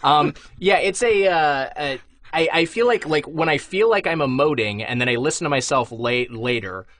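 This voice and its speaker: A man co-hosting a comedy podcast in a dry, flat, deadpan way, introducing guests and asking questions. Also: a little high, normal speed, a little hiss or hum. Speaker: podcast co-host. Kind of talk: casual comedy podcast chat.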